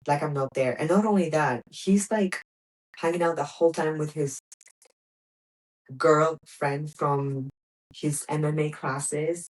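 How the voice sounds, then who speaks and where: A woman's voice: sits low, steady tone, slow pace, low-quality recording. A young woman from Greece, hosting a podcast and telling a personal story in a candid, emphatic way, repeating words for stress. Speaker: podcast host.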